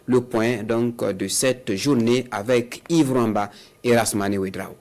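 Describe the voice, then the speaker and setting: A man's voice: medium pitch, steady tone, a little slow, darkish tone. A man reading a scripted news introduction as an anchor.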